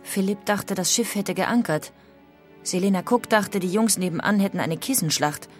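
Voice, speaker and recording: A woman's voice: medium pitch, moderate pace, soft background music, natural voice. A woman playing an emigrant in a dramatised reading of historical letters and accounts. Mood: sober recounting.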